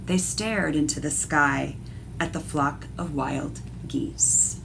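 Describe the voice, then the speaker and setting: A woman's voice: sits low, takes it slowly, gravelly, background noise. A woman art teacher reading a picture book aloud expressively and explaining things to young listeners. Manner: instructive, addresses young listeners directly.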